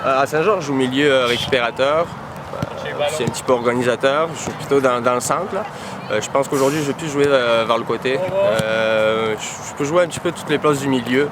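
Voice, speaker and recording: A man's voice: mid-range voice, brisk. A man from France with a French accent, a soccer player answering interview questions outdoors with many hesitations and fillers. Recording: noisy outdoor background.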